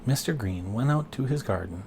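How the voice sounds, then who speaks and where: A man reading a children's picture book aloud, expressively and with deliberate pauses. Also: medium pitch, lively intonation, gravelly, background noise. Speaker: storybook reader and dad.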